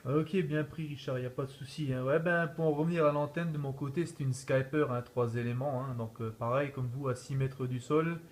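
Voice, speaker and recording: A man's voice: medium pitch, fairly even intonation, a little fast, hardly any pauses. A man chatting casually as an amateur radio operator, in an easygoing way with frequent repeated tag words. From France. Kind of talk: casual radio contact chat.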